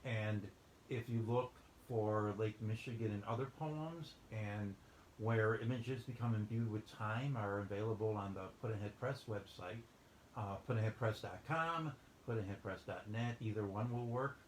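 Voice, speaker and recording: A man's voice: on the low side, a little slow. A man hosting a casual interview, chatty and rambling, with lots of ums and restarts. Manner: rambling, filler-heavy, chatty. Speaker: host. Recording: roomy, with a faint background hum.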